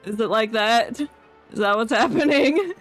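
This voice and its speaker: A woman's voice: fairly high pitch, expressive, a little slow. A woman streaming a game, giving casual, sarcastic and jokey live commentary. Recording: game music behind the voice.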